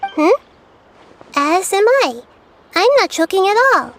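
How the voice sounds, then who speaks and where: A woman voicing a gentle young girl goddess of nature in a video game, who speaks in a calm, thoughtful and earnest way. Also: extremely high pitch, takes it slowly, gravelly, very noisy, full character voice. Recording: game soundtrack playing loudly behind. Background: game soundtrack music.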